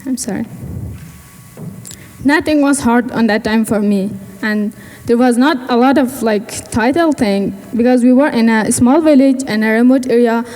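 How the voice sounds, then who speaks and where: A teenage girl speaks in a fairly high, mellow voice, halting as she searches for English words. Her speech rings out with a long reverb from a large hall. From Afghanistan. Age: teens. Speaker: student speaker. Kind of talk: personal speech at a gala.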